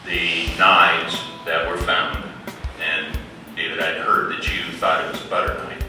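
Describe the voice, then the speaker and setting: A man giving a halting, deliberate public briefing as an official presenting case facts. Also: low-pitched, completely flat delivery, over music. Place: community center meeting room, far from camera mic. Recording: echoey hall, distant mic, background noise.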